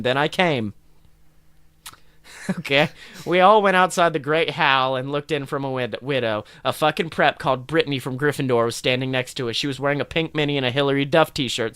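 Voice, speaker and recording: A man's voice: mid-range voice, a bit bright, smooth, no room sound. A man hosting a podcast, reading fan fiction casually and theatrically with sweary, irreverent asides. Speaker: podcast host.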